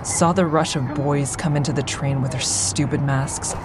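An actress playing a traumatized woman survivor in an audio drama, recounting her ordeal in a measured, deliberate way. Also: low voice, steady tone, music in the background, lightly acted. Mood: somber, haunted. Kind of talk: full-cast audio drama monologue.

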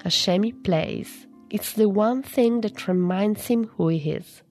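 A woman's voice: mid-range voice, measured pace, a little mellow, soft background music. A woman reading scripted narration as a radio news reporter.

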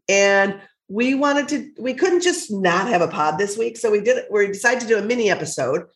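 Podcast co-host, woman, congested and lower than usual, passionate and foul-mouthed, echoey room. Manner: blunt, profane, passionate. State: stuffy from a cold, low voice. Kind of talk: casual chat.

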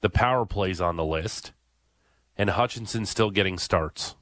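A man's voice: low voice, a little slow, a bit bright, no room sound. A man hosting a sports radio talk show, leading the chat with questions in a conversational way. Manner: conversational, leads the discussion with questions.

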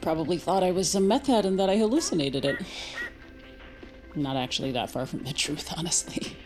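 An actress playing a hesitant, earnest young American woman in a naturalistic audio drama, speaking in a halting, awkward, wry way. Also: fairly low pitch, animated, music in the background, lightly acted. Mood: wry, eager.

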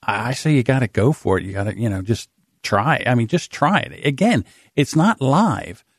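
A man's voice: medium pitch, lively intonation, normal speed, breathy edge, no echo. Podcast co-host: man, South Jersey accent, mid-range voice, dry close mic, plain-spoken. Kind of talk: casual conversation.

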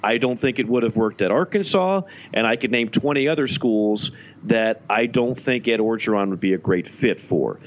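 Rambling low-voiced man talking college football. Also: moderate pace, muffled recording. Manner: animated, rambling with frequent restarts. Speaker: podcast co-host. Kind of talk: casual sports talk.

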